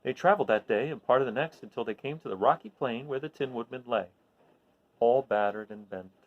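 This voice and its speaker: A man reading a children's story aloud expressively, in an animated way that addresses his listeners. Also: medium pitch, fairly quick, dull audio. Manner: animated, recapping with questions to listeners.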